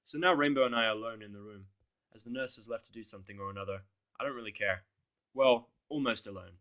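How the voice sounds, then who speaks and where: A man's voice: on the low side, quick pace, fairly bright tone. A man reading a first-person fan fiction story as narrator, with a blunt, sardonic delivery.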